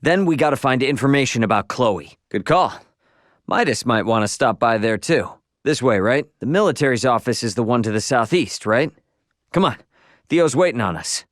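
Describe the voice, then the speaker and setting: Casting: male actor as young game hero, brash, offhand and slangy, animated intonation, mid-range pitch, close dry sound. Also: normal speed, no echo, close to an everyday voice. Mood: casual, determined. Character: brash, casual young male adventurer, impatient but good-hearted. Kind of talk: video game character voice lines.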